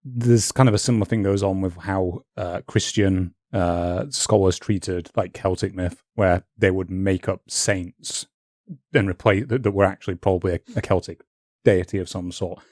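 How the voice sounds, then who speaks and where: A man's voice: low voice, fairly even intonation, normal speed, darkish tone, dry recording, studio quality. A man co-hosting a podcast, thinking aloud in loose, hesitant chat. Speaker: podcast co-host. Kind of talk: casual chat.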